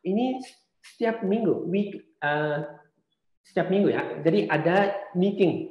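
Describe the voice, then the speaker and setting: A man giving an informal online seminar presentation as a speaker on studying abroad, talking in a halting, reassuring way with frequent hesitant pauses. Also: fairly high pitch. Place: indoors, online seminar call. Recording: muffled, roomy online call audio.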